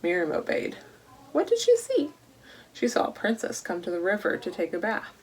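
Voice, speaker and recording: A woman reading a Bible story aloud to children in a deliberate way, posing questions to young listeners as she goes. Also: medium pitch, wildly swooping pitch, darkish tone, slightly rough, rough recording. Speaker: children's storytime reader at a church.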